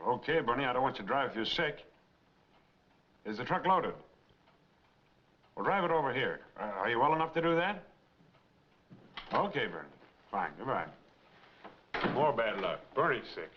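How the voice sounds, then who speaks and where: An actor playing a stubborn, harried owner of a small trucking business, curt and firm, in 1940s film noir style. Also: male voice, medium pitch, sing-song, moderate pace, pauses often, husky. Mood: weary, businesslike. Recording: muffled, noisy 1940s film soundtrack.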